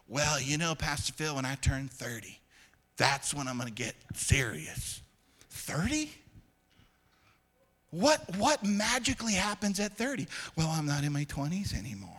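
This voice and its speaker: A man in his forties preaching a sermon as pastor, with call-and-response asides, swinging from loud urging to soft speech. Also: fairly high pitch. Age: 40s.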